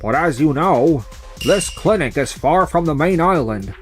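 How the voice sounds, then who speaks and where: A man's voice: a little high, animated, measured pace, soft background music. Man acting a formal, defensive hospital official. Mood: conceding. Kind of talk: manga dub voice acting.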